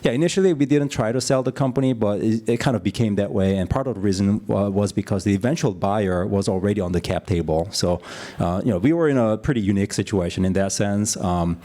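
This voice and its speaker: A man from Korea speaking on a conference panel, halting with many fillers as he gives earnest advice. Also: on the low side, mellow. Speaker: founder on a panel. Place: conference stage.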